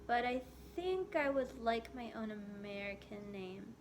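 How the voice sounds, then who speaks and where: A woman's voice: fairly high pitch, animated, unhurried, noisy background. A woman voicing a shy, earnest young schoolgirl in a storybook, speaking hesitantly at times. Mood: earnest, pleading.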